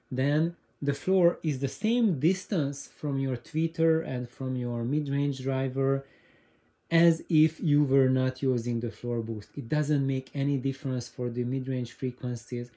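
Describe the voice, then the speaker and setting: A man's voice: medium pitch, a little slow, dark tone. A man hosting an informal explainer, speaking in a hesitant way and often repeating words as he goes. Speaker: host of an audio hobbyist channel. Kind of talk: informal explainer talk to camera.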